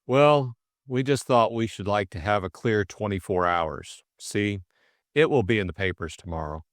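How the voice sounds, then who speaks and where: A man voicing a stolid middle-aged police superintendent, cautious, measured and plain-spoken, in an audiobook story. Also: lowish voice, no echo, clean studio sound, close to an everyday voice.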